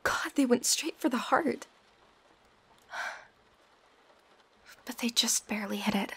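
A woman playing an earnest, concerned young healer in an audio roleplay, speaking emphatically with a breathy voice. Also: on the high side, brisk, a bit bright, slight background noise. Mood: alarmed. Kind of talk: ASMR audio roleplay acting.

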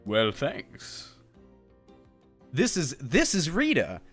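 Friendly explanatory video game professor read by a man, animated delivery, faint music underneath. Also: fairly high pitch, drawn-out words, full character voice. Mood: welcoming, explanatory. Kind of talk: reading game dialogue aloud in character.

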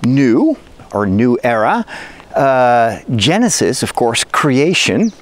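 A middle-aged man, a native Dutch speaker who works as a priest, chatting enthusiastically to his phone camera in a forest. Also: slow. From Netherlands. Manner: chatty and enthusiastic, lively pitch swings. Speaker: priest and video host. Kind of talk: casual explainer to camera. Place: outdoors in a forest.

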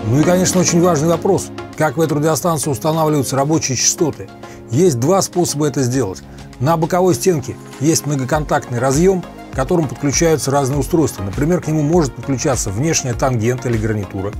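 A middle-aged man with a slightly husky voice, methodically explaining equipment in a review to camera. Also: fairly high pitch, fast, over music. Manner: methodical, explanatory, repeats points for clarity. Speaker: host of a radio equipment review channel. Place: small dim home office with computer monitors.

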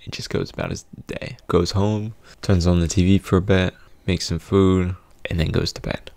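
A young man hosts a casual, comedic storytime. His voice is low with plenty of creak, and he uses mock-dramatic pauses. The sound is dry and close on a studio mic. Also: fairly even intonation, a little mellow, no room sound. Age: young adult. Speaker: video host, storyteller. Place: small indoor home studio. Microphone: close studio condenser mic with pop filter.

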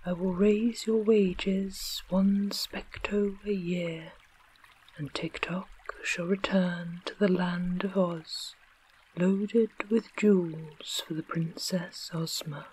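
A reader voicing a fat old gnome king in a hushed whisper, read slowly. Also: medium pitch, steady tone, slight background noise, natural voice, low-quality recording. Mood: pompous.

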